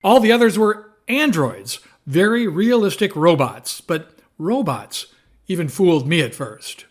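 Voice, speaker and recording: A man playing an excitable, incredulous newspaper reporter in an audio drama. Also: high-pitched, measured pace, exaggerated voice. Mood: wry recounting. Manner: exclamatory, incredulous.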